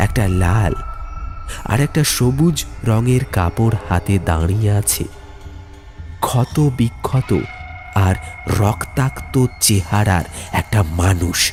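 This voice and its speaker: A man narrating a scripted ghost story in a suspenseful, deliberate way with dramatic pauses. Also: on the low side, expressive, darkish tone, faint music behind.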